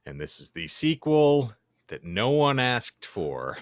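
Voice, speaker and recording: A man's voice: medium pitch, very animated, slow pace, no echo, clean studio sound. A man hosting a podcast, delivering a theatrical introduction with wordy, mock-grand phrasing and casual banter. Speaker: podcast host.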